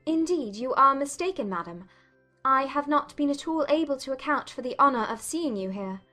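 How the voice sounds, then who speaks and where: A woman playing a proud, self-possessed young gentlewoman in an audiobook drama, speaking formally and with spirit. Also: high-pitched, expressive, normal speed, soft background music. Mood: indignant, astonished.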